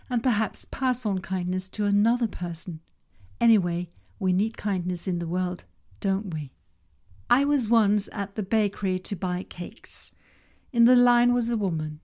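A woman whose first language is Danish, reading her own self-help podcast in a calm, measured way with slow emphasis on key words. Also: medium pitch. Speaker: podcast host and author. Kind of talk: scripted self-help narration.

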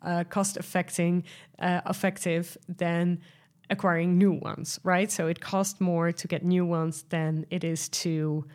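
A woman's voice: fairly low pitch, little pitch movement, measured pace, a little mellow. Chatty Dutch-accented woman hosting. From Netherlands. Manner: chatty and enthusiastic, many fillers and restarts. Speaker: podcast host and sales coach. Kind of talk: solo podcast talk.

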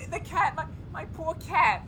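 A woman's voice: very high voice, animated, unhurried, fairly bright tone, slight background noise, full character voice. A woman playing a frightened, uneducated lab assistant in an old-time radio horror play, stammering and pleading in folksy, ungrammatical speech. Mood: distressed, urgent.

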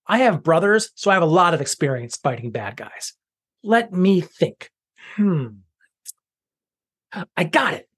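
A man voicing a spirited young girl who talks eagerly and quickly in a storybook tale. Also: high-pitched, very animated, a bit bright, slightly rough, exaggerated voice. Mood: confident.

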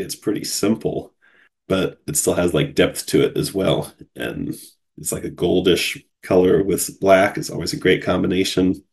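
A man's voice: sits low, vocal fry, a little mellow, breathy edge. A man from Cincinnati hosting a solo podcast in a casual, chatty way, sounding groggy from a cold. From Cincinnati, USA. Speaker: podcast host.

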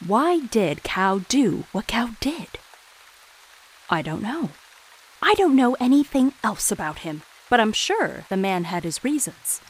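Storyteller, wry, deliberate, slow-paced, expressive pitch, audio drama narration. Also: female voice, slight background noise, poor audio. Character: wry female storyteller narrating a murder tale.

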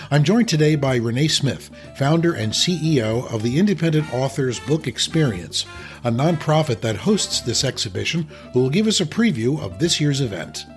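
A man from New Jersey hosting a podcast interview, friendly and joking, asking long, rambling questions. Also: medium pitch. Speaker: podcast host.

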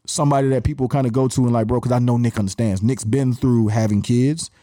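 Man, Atlanta Southern accent, middle-aged, slangy storyteller, mellow tone, dry sound. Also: medium pitch, steady tone, fast talker, no echo. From Atlanta, USA. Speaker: podcast guest, music marketer. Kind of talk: casual storytelling chat.

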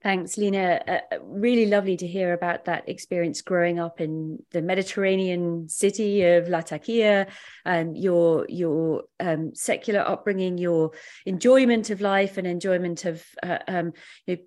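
A woman's voice: on the low side, normal speed, a little mellow. A woman hosting a podcast interview, reading a prepared introduction and stumbling a little when improvising. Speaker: podcast host.

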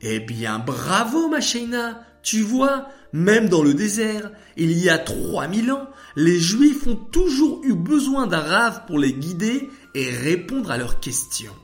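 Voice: medium pitch, very animated, slow pace, few pauses, faint music behind, pitched above the performer's own voice. A storyteller voicing a kind, patient father who speaks affectionately and instructively to his child. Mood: proud, encouraging.

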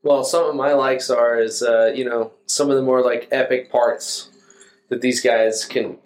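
Man, medium pitch, podcast music reviewer, casual chat, explanatory, measured wording. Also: steady tone.

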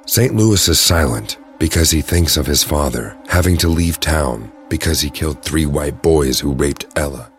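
Very deep-voiced male narrator over music. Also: lively intonation, moderate pace, few pauses. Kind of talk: scripted audio drama narration.

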